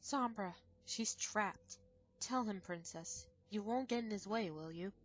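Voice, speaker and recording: A woman's voice: medium pitch, measured pace, close to an everyday voice. A woman voicing a female magical pony in a cartoon fandub, theatrical and emotive. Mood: controlling. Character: high-voiced dramatic female magical cartoon ponies.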